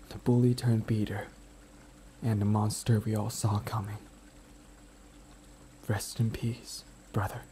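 A man playing a gentle, timid, grieving best friend in an intimate audio roleplay, speaking softly and haltingly as he confides. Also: on the low side. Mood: bitter, mournful. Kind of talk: boyfriend ASMR audio roleplay, intimate dramatic acting. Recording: noticeable background ambient noise.

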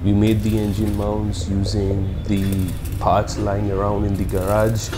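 A man from India walking through a car engine swap in a relaxed explanatory vlog, speaking matter-of-factly with occasional fillers, partly recorded on a phone in a garage workshop. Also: fairly low pitch, noisy background. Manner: slow, matter-of-fact walkthrough with fillers. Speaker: car build vlogger. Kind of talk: casual explanatory voice-over and on-site commentary.

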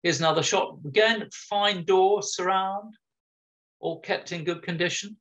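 Man, 60s, local history lecturer on a video call; informal, rambling delivery, fairly high pitch, dull lo-fi sound. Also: measured pace, fairly bright tone. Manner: chatty, informal, with frequent ums and restarts. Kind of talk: illustrated online history talk. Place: home room.

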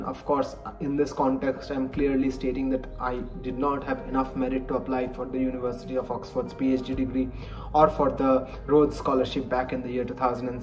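Earnest young Indian man, faint music. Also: medium pitch. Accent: Indian English. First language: Bengali. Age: 20s. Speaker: vlogger, college lecturer. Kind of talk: personal advice vlog to camera. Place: home study lined with bookshelves, indoors. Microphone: webcam-style framing, head and shoulders.

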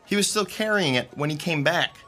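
A man's voice: fairly high pitch, animated, fairly quick, sharp tone, soft background music. A man acting a friendly, chatty theatre techie telling anecdotes in an audio drama. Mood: amused storytelling. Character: Rick, chatty friendly young male theatre techie storyteller.

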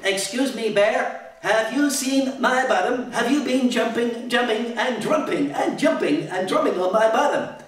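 Distraught little storybook robot played by a man: plaintive, urgent, high-pitched, heard from across an echoey hall. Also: a little slow. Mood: panicked. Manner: exclaiming, repeating words urgently. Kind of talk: animated children's storybook reading. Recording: echoey hall, distant mic.

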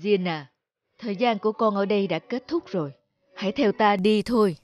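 A woman voicing a gentle, wise guardian angel in a cartoon, tender and instructive. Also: medium pitch, normal speed, soft background music, lightly acted. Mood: soothing. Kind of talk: animated fairy-tale dubbing.

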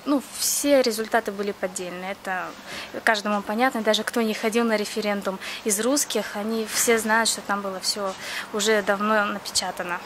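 A woman's voice: mid-range voice, fairly even intonation, brisk, background noise. A young woman from Crimea, earnestly answering interview questions in plain speech with frequent hesitations. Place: outdoors near a café.

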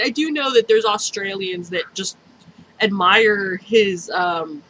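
A young woman vlogger talks casually and excitedly to her camera in a bright, forceful voice at a middle pitch. She rambles with enthusiasm. The home recording has noticeable noise behind her. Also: rough recording. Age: young adult. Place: small bedroom, indoors.

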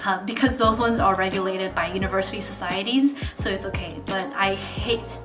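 A young woman in her twenties talks to camera from her bedroom, frank and chatty with plenty of fillers. English is not her first language. The room sounds roomy, and music plays clearly underneath. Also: medium pitch, fairly even intonation, normal speed. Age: 20s. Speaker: vlogger, university student. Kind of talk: casual talk to camera. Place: bedroom, indoors. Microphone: camera in front at desk distance.

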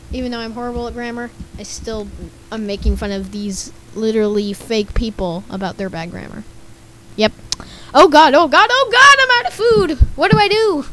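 A woman's voice: a little high, a bit bright. A young woman with a slightly scratchy voice giving casual, giggly commentary over gameplay and reacting to what she reads on screen. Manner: chatty, giggly, reads game chat aloud and reacts. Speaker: gaming YouTuber.

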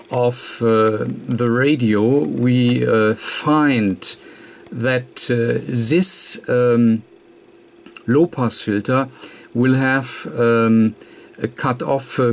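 A man presenting an electronics tutorial, explaining off the cuff in a halting, hesitant way with frequent pauses mid-sentence. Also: medium pitch, slight background noise. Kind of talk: unscripted technical explanation. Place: indoor workbench, hands and papers filmed.

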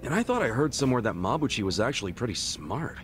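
A man's voice: mid-range voice, sing-song, fast talker, fairly bright tone, soft background music. A man voicing a blunt, earnest, streetwise middle-aged underdog in a video game, slangy and frank. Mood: casual, frank. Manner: blunt, slangy, incredulous questioning. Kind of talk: video game cutscene dialogue acting.

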